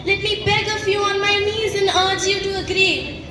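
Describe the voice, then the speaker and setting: A woman's voice: very high voice, fairly even intonation, moderate pace, a crowd in the background. A young woman acting a ruler's fearful, imploring Roman wife, declaiming urgently in a school play. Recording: reverberant noisy hall from far off.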